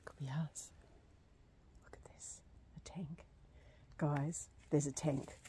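A woman exploring outdoors, giving excitable commentary with frequent laughs and gasps. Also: low voice, slow. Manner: excitable, laughs often, breaks into gasps and whispers. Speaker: vlogger exploring abandoned places. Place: outdoors on an overgrown rural property.

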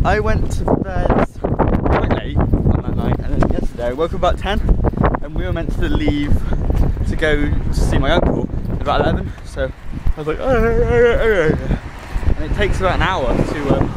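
A young man with a light Gloucestershire accent and a fairly high voice chats and giggles, easily thrown off his train of thought. He holds a phone at arm's length on a dark street at night. Loud wind buffets the mic. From England. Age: young adult. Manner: chatty, giggly, easily distracted. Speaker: vlogger. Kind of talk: rambling casual vlog. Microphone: phone held at arm's length.

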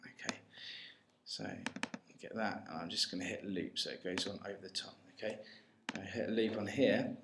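A man giving an informal, hesitant step-by-step software tutorial as a music technology tutor. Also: fairly low pitch, fairly even intonation, moderate pace. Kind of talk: screencast tutorial narration. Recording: roomy, faint noise with mouse clicks.

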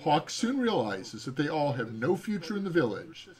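A man's voice: on the high side, sing-song, normal speed, darkish tone. An American man in his sixties co-hosting a casual home livestream, with dry, joking asides. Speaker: livestream co-host. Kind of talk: casual livestream chat and reading aloud. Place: home room. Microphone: webcam-style setup, no headset, at desk distance.

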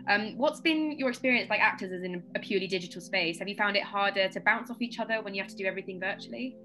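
A woman's voice: mid-range voice, fast, sharp tone, poor audio. A woman in her twenties casually hosting an interview over a video call. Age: 20s. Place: indoor room, video call.

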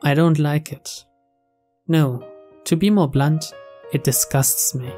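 Slow narrator over music. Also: mid-range voice, dark tone. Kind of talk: reading visual novel narration.

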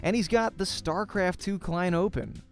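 A man's voice: sits high, moderate pace. Man reading a visual novel aloud. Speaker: let's play streamer. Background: faint music.

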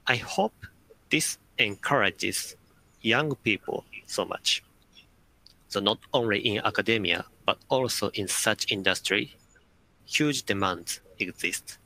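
Young guy, careful halting english, raspy edge, low voice. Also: pushed and bright, rough recording. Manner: careful, halting, nonnative phrasing. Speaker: academic panelist. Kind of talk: video-call panel discussion. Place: home room. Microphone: video call.

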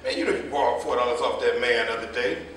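An actor playing a nosy, argumentative gossip of a cab driver in a staged play reading, needling and defensive. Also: male voice, medium pitch, fast, fairly bright tone, natural voice. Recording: echoing room from far off, faint noise.

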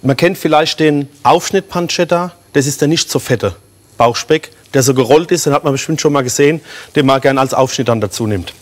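A man's voice: on the high side, a little fast. A German man in his thirties, a chef explaining a recipe step by step in a TV studio kitchen, with many fillers. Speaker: guest chef. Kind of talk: cooking demonstration chat.

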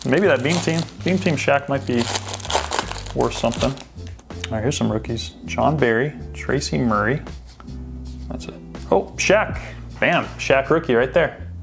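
A man's voice: medium pitch, expressive, unhurried, creaky, long pauses, over music. A man hosting a sports card pack opening, chatting casually and thinking aloud as he sorts through the cards. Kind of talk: casual unboxing commentary.

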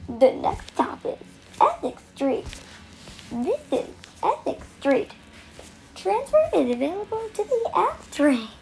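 A woman reading scripted transit announcements in clipped, formulaic, clearly separated phrases. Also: extremely high pitch, huge pitch swings, takes it slowly, darkish tone. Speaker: train announcer. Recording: noisy recorded train announcements.

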